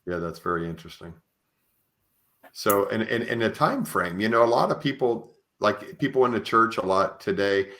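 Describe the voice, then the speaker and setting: A man with a fairly low voice hosts a conversational podcast interview. He explains things earnestly, often restarting his phrases as he thinks them through. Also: a bit bright. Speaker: podcast host. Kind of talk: conversational interview, sharing religious experiences.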